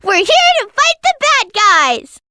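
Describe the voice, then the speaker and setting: A performer voicing an excitable, cheeky little boy bee in a video game, squeaky, bubbly and eager. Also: slow, no room sound, studio quality, full character voice. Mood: gleeful. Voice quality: squeaky, shrill. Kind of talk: video game character acting.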